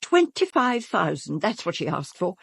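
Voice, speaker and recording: An actress playing an imperious, theatrical stage-star wife in a radio drama, commanding and pointed beneath sweet endearments. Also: female voice, lowish voice, very animated, normal speed, creaky, husky, no echo, clean studio sound, heavily acted. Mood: scornful.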